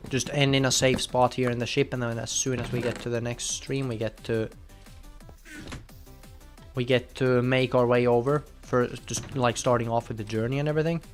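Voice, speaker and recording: Excitable young man streaming. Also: medium pitch, normal speed, faint music behind. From Finland. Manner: chatty, bursts into loud excited outbursts. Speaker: game streamer. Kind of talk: casual live gameplay commentary.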